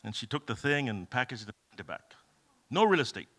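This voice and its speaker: Kenyan-born man, middle-aged, conference speaker, bright forceful tone, joking anecdotes, lots of ums. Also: medium pitch, big pitch swings, fast talker. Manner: humorous, self-deprecating storytelling with many fillers. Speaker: keynote speaker. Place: event hall.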